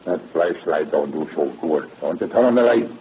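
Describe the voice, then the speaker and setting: A man's voice: rumbling low, expressive, normal speed. An actor playing a folksy, grumbling, wisecracking handyman in old radio drama style. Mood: flustered, grumbling. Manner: folksy, grumbling, drily comic. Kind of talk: 1930s radio drama acting. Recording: muffled, hissy 1930s radio recording.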